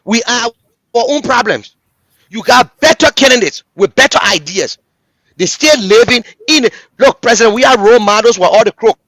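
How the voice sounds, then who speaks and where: A man's voice: very high-pitched, animated, moderate pace, a bit bright, dry recording, low-quality recording. A man with a Liberian English accent giving passionate political commentary as a talk show host, repeating lines and addressing listeners directly. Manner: impassioned, repetitive, rhetorical address to listeners.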